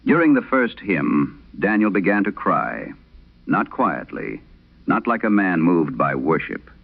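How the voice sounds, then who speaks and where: A man narrating as a troubled wife reading her haunted letter in a vintage horror radio drama, solemn and measured. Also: sits low, very animated, gravelly, exaggerated voice. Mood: somber, uneasy. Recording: dull lo-fi vintage radio sound.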